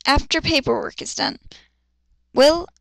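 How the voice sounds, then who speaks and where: Female reader-commentator, blunt and sarcastic, creaky edge, close dry sound, dramatic amateur story reading. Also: high-pitched, unhurried, sharp tone, no echo. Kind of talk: dramatic fan fiction reading with ranting asides.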